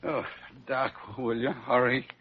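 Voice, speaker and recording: An actor playing a slangy, soft-hearted working-class ambulance driver who wisecracks in an old radio drama. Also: male voice, mid-range voice, little pitch movement, measured pace, close to an everyday voice. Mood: anxious reluctance. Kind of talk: 1940s radio drama acting. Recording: dull, lightly noisy old radio recording.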